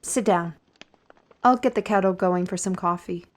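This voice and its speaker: A woman's voice: fairly low pitch, normal speed, lightly acted. A woman playing a wry, cryptic artist on parole in a fantasy audio roleplay, grudgingly hospitable and speaking with theatrical deliberation. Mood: hospitable, teasing. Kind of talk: audio roleplay acting addressed to listener.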